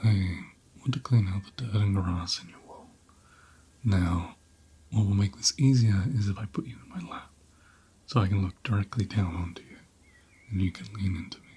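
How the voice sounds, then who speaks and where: A man playing a gentle, caring ram in an ASMR roleplay, speaking in a soft, breathy half-whisper with a soothing, tender manner. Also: low-pitched, normal speed, exaggerated voice. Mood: soothing, reassuring. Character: gentle, caring male ram tending an injured lamb.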